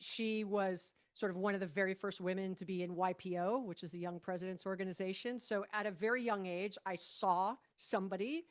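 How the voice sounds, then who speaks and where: A woman in her fifties from Hawaii, a podcast guest telling stories about her past in a relaxed, animated way, with frequent restarts and light laughter. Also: mid-range voice, a little fast, hardly any pauses. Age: 50s. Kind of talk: conversational podcast interview.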